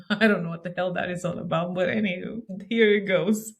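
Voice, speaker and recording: A woman hosting a casual interview chat, bubbly and quick to laugh. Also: fairly low pitch, fairly even intonation. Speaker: podcast host.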